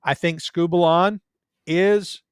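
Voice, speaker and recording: A man's voice: high voice, fairly even intonation, takes it slowly, clear, resonant tone, no room sound, studio quality. A man with a Southern US accent, a guest in a casual chat, telling stories in a joking, self-deprecating way.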